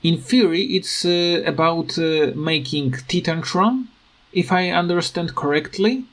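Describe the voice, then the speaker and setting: A man in a casual rant over game footage, speaking with halting, non-native phrasing as a gaming video creator. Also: on the high side, fairly bright tone.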